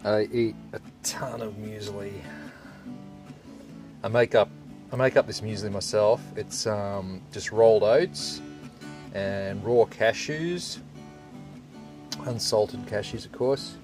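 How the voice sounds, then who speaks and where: A man's voice: fairly low pitch, slow, long pauses, over music. A man in his forties with an Australian accent, talking casually and unscripted as a laid-back, colloquial vlogger. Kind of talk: casual, unscripted vlog talk.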